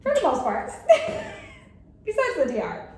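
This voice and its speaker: A young adult woman with a high-pitched voice, co-hosting a podcast in casual chat and telling stories with lots of laughter. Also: slow pace. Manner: laughing, animated storytelling with quoted voices. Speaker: podcast co-host. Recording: very echoey room with faint noise.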